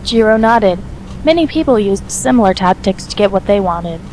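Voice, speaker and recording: A woman narrates a piece of fiction from a script. Her voice sits at a medium pitch. A faint noise runs beneath the recording. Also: lively intonation, normal speed, a little mellow, poor audio.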